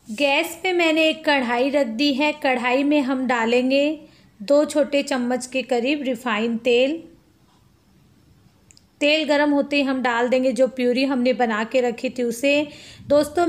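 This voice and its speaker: Lady with a high voice walking thru a recipe at her stove, chatty, talks to viewers like friends. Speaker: cooking channel host. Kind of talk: friendly step-by-step recipe narration. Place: home kitchen at the stove.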